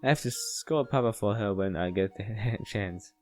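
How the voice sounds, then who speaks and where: A man's voice: fairly low pitch, a little mellow, faint music behind. A man doing a casual read-aloud of a visual novel, often stumbling and going back over lines. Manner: halting, stumbling and rereading lines. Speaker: let's player reading a visual novel aloud.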